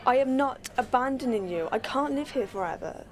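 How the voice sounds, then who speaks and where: Woman acting fed up grown daughter in a radio play, arguing, slightly high young voice. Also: expressive, normal speed. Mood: exasperated. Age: young adult. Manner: exasperated, argumentative. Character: frustrated grown-up daughter wanting independence. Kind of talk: low-key dramatic radio-play dialogue.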